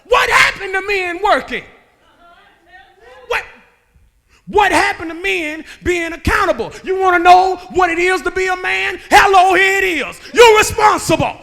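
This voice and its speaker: A man, preaching a sermon that moves between joking talk and shouted calls to the men. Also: very high-pitched, unhurried, vocal fry. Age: 30s. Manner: conversational preaching that builds to shouting, with laughs and rhetorical questions. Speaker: guest preacher. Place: church sanctuary.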